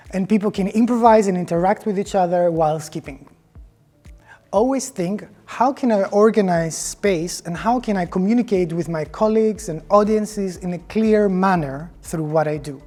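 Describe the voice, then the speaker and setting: A man from Greece with a Greek accent narrates a tutorial as a dance teacher. His tone is dark and relaxed, with music playing behind him. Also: sits high. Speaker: choreographer, dance instructor.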